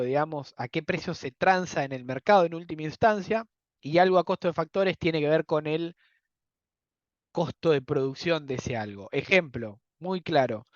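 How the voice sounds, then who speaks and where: A young man with an Argentine accent lectures patiently, padding his points with fillers and asking if it's understood. His voice sits a bit high. The recording is dull and dry, like a close computer mic. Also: no room sound. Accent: Argentine Spanish. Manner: explanatory, many fillers, checks understanding. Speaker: economics lecturer. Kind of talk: university lecture.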